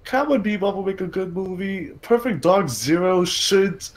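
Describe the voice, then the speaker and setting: Higher-pitched man in casual podcast chat. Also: lively intonation, normal speed. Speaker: podcast co-host.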